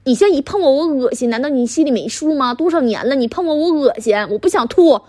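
A woman playing a furious middle-aged wife and mother with a Northeastern Chinese accent and a husky voice, berating her husband in coarse, sarcastic language in a dramatic monologue. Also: sits high, lively intonation, fast, a little mellow, no room sound, studio quality. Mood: angry, disgusted. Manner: scolding, sarcastic, coarse swearing. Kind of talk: dramatic one-sided argument monologue.

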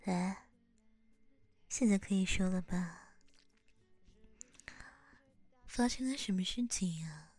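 A woman in a whispered ASMR roleplay, gently coaxing a younger boy as his hairdresser, in a soft big-sister tone, close to the microphone. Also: lowish voice, takes it slowly, long pauses, slightly rough, no echo, soft background music.

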